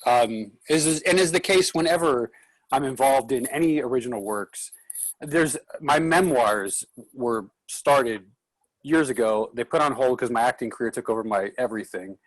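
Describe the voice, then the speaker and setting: Male moderator, medium pitch, dry recording, casual and encouraging. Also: moderate pace, no room sound, poor audio. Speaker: actor moderating a Q&A. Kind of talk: casual, encouraging group discussion.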